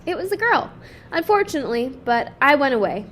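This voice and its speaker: A woman's voice: sits high, very animated, fairly quick, fairly bright tone, a little hiss or hum. A woman voicing a lonely, timid little boy in a picture book, wistful and earnest. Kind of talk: children's picture book read-aloud.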